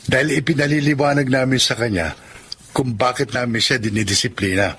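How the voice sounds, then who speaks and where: A man playing a firm but kind, God-fearing father with an earnest, measured manner in a Tagalog radio drama. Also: medium pitch, steady tone, fairly bright tone, a little hiss or hum, lightly acted.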